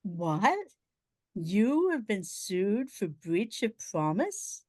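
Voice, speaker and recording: A woman playing a breezy, teasing upper-class gentleman in a comic period radio play, jaunty and mocking with posh old-fashioned diction. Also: mid-range voice, big pitch swings, extremely slow, no echo, clean studio sound, full character voice. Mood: amused disbelief.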